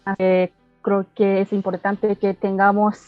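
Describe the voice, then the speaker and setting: A woman who is not a native speaker answers interview questions slowly and carefully. She pauses often and fills gaps as she searches for words. The sound is slightly dull and dry, with faint music behind. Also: medium pitch, monotone, no room sound. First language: not Spanish. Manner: careful, hesitant with many fillers. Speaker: guest, language learner.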